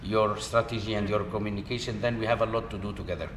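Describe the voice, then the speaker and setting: A middle-aged man answering interview questions in halting, non-native English, pausing often with "uh" fillers. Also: fairly low pitch, steady tone, fairly bright tone. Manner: hesitant, halting, with frequent "uh" fillers. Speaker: interview guest. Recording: echoey hall with background noise.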